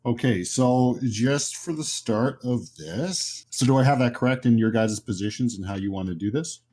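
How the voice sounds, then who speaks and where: A man's voice: mid-range voice, normal speed, dark tone. A man acting as game master, explaining the setup of a tabletop horror game to players.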